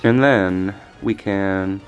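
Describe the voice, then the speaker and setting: A man casually commenting on his card game as he plays, thinking aloud with frequent restarts, his voice a little raspy today. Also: deep voice, very slow, soft background music. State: slightly raspy voice. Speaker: streamer.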